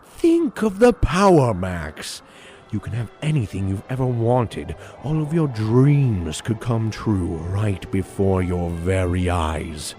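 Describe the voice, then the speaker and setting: A man's voice: fairly low pitch, big pitch swings, slow, mellow, soft background music. A man playing a menacing, grandiose supervillain boss in an audio drama, with taunting, gloating delivery.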